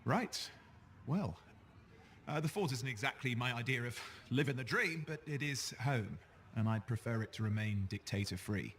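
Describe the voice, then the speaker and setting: An actor playing a breezy, joking sidekick in a sci-fi game, with a glib and casual way of talking. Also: male voice, medium pitch, expressive, moderate pace, a bit bright, slight background noise, close to an everyday voice. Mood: breezy, teasing. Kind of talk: conversational video game voice acting.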